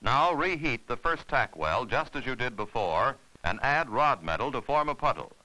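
A man's voice: medium pitch, lively intonation, moderate pace, sharp tone, poor audio. A man narrating an instructional film in a formal, scripted, step-by-step way.

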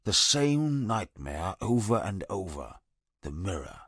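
A bitter, disfigured convict speaks in a very deep, gravelly, husky voice, slow and brooding. His wary, sardonic lines fall dry and close, recorded in a studio for a game. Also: very animated, a bit bright, dry recording, exaggerated voice. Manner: low, brooding and haunted, sardonic.

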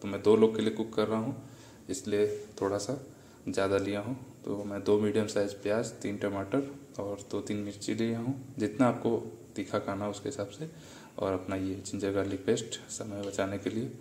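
A young man talks viewers through his cooking in a relaxed, chatty way and calls them brother. His voice sits low and stays fairly level. The small kitchen sounds echoey, with faint background hum. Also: normal speed. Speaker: cooking vlogger. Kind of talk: casual cooking voice-over. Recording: echoey room with faint ambient noise.

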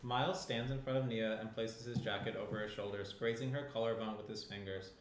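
A man's voice: on the low side, fairly even intonation, brisk. Roomy-sounding man reading stage directions. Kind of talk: scripted narration. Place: small indoor room around a table. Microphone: shared tabletop microphone. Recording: roomy tabletop pickup, faint noise.

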